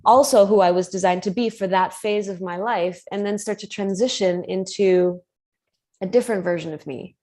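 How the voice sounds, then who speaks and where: A woman's voice: medium pitch, moderate pace, warm tone. A woman speaking earnestly on a live video call, thinking aloud about commitment as a coach, with frequent restarts and fillers. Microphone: video call mic.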